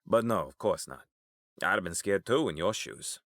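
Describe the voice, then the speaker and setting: An actor playing an easygoing, streetwise, affectionate uncle in an audio drama, talking in a familiar, colloquial way. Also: male voice, sits low, animated, normal speed, fairly bright tone, dry recording, clean studio sound. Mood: frank, encouraging.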